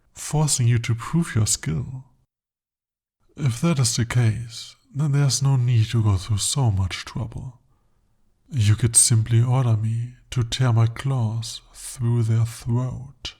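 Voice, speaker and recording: A man's voice: medium pitch, mellow. A man voicing a devoted, possessive male demon in an ASMR roleplay, speaking formally and intimately. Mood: jealous intense. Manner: formal, intimate, slow-spoken address.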